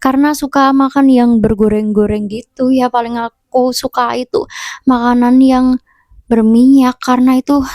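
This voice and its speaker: A woman vlogging in a casual, chatty way, with a slightly hoarse voice and a somewhat stiff, blunt delivery. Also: fairly high pitch, a little mellow, no room sound, studio quality. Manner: chatty and self-deprecating, sounds a bit stiff and blunt. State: hoarse from a long sore throat. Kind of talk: casual vlog narration to viewers.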